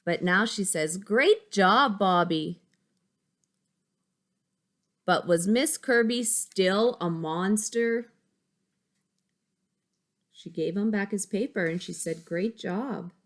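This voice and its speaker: A woman's voice: mid-range voice, slow pace, pauses often. A woman schoolteacher reading a picture book aloud to young pupils, using simple phrasing and stopping to ask them questions. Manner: simple child-directed phrasing, checking questions.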